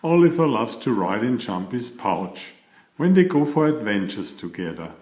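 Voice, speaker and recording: A man reading a children's picture book aloud in a deliberate, expressive storytelling manner. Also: medium pitch, reverberant.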